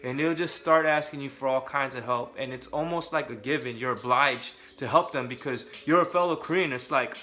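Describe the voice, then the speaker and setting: A man's voice: medium pitch, a little fast, few pauses, sharp tone, soft background music. A young adult man from California, talking casually and candidly to camera with joking asides. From Southern California. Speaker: vlogger.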